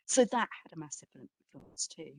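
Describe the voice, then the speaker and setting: A woman's voice: low-pitched, sing-song, normal speed, vocal fry, darkish tone, no room sound, low-quality recording. A woman speaking as an exhibition curator in an informal academic talk, reflective and hedging, stressing key words.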